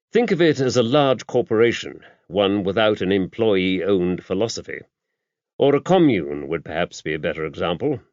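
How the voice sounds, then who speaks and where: A mild, patient clergyman played by a male audiobook reader explains things in a measured way. His voice sits fairly low. The sound is very dry and slightly muffled. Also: darkish tone, dry recording. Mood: explaining. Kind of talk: audiobook character dialogue.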